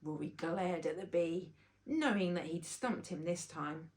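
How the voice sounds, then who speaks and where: A woman narrating a children's book aloud in an expressive storytelling style, as its author. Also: fairly low pitch, unhurried.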